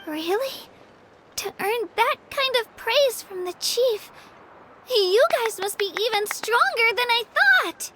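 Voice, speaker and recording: A woman's voice: very high voice, slow, background noise. A woman voicing an eager, modest young girl, an apprentice warrior in a video game, earnest and girlish. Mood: surprised.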